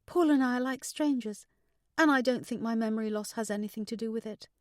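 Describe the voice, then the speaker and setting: A woman's voice: a little high, a little fast, no room sound, clean studio sound, pitched above the performer's own voice, lightly acted. A woman voicing a fragile, anxious married woman in an audiobook, hesitant, emotional and vulnerable. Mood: sad, confiding. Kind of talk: audiobook character dialogue.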